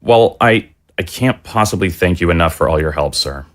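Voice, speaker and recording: Man playing a low-voiced, earnest father. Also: animated, normal speed. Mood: relieved, grateful. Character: worried, grateful father searching for his son. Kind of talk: audio drama dialogue.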